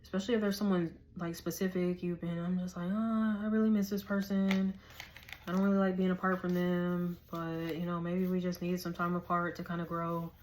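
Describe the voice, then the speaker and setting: Hoarse woman, casual tarot reader, a touch low, faint background noise. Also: little pitch movement, a little fast, a little mellow. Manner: chatty, loose, slangy, thinks aloud.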